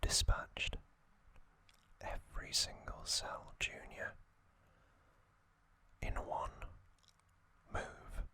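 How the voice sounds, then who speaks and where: Man, whispered ASMR storytelling, very slow, deliberate short phrases, hushed delivery. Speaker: ASMR host. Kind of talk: whispered ASMR scripted narration.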